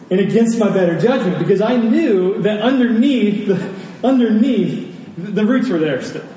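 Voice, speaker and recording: Guy giving a sermon, mellow highish voice, earnest, big echoey hall far from the mic. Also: lively intonation, slow. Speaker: preacher. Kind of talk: sermon with personal anecdotes and scripture reading. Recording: very echoey hall, distant mic, faint noise.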